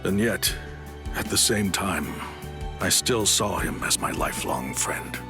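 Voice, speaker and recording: A low, heavy male voice carries an honorable warrior captain from an anime game. He is solemn and sorrowful, loyal to the core, and speaks with formal earnestness. Music plays clearly behind him. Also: lively intonation, measured pace. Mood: conflicted, sorrowful. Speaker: video game voice actor. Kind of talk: scripted anime game cutscene dialogue.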